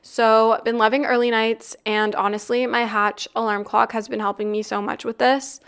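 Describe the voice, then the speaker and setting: A young woman in her twenties hosts a chatty podcast, sounding a little congested and raspy from a recent flu. Her voice sits fairly high and stays smooth and clear, with a flat, even melody as she talks upbeat and easy. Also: normal speed. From Canada. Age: 20s. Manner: chatty, upbeat, with frequent "like" and "really". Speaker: podcast host. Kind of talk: casual chat.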